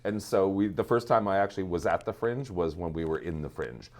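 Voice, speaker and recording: A man's voice: low-pitched, animated, a little fast. A man with a Canadian accent chatting casually as a guest, wry and teasing. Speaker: podcast guest, theatre artist. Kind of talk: casual chat.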